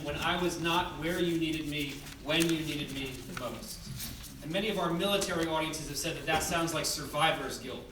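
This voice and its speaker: A man speaking as director and host to a theater audience, explaining in an earnest, measured way. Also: a little high. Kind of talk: public address to a theater audience. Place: theater, addressing live audience. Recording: echoey theater, distant, noisy.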